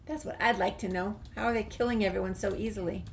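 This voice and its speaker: A woman's voice: mid-range voice, steady tone, quick pace. A woman giving casual, playful commentary as she plays through a game. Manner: informal, playful. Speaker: gaming video host. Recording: faint background noise. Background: game ambience.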